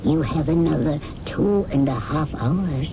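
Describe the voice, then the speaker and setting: A woman's voice: sits low, unhurried, a crowd in the background. An actress playing an older motherly companion who fondly scolds a young actress, in a radio drama. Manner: fond, gently scolding. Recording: tinny hissy old radio broadcast.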